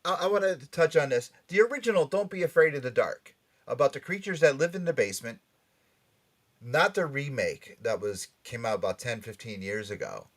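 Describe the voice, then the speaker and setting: A man's voice: mid-range voice, lively intonation, brisk. A middle-aged or older American man hosting a casual pop culture talk show, chatty and emphatic. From Norwalk, Connecticut, USA. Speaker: radio and podcast show host.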